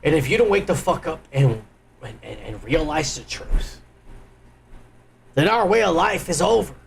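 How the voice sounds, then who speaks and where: A man with a high, gravelly voice hosts a live stream. He rants with crude, angry profanity, and a faint hiss sits under his voice. His talk is loose and heated political commentary. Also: expressive, normal speed.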